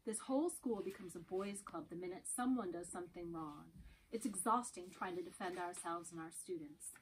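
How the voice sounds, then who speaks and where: A woman's voice: fairly low pitch, moderate pace, natural voice, poor audio. A woman reading the part of a weary, cynical female teacher in an amateur script reading. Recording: faint background noise, clicks.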